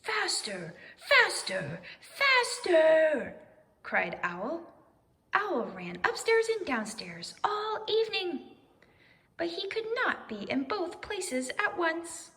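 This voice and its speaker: Animated woman reading a children's story. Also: very high-pitched, slow pace, in a large hall. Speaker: storybook reader. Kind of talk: expressive children's read-aloud.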